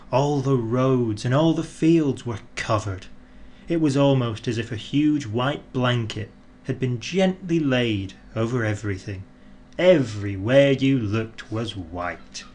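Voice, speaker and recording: A man narrating a children's storybook in an expressive, deliberate way, drawing out words for emphasis. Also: mid-range voice. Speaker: audiobook narrator.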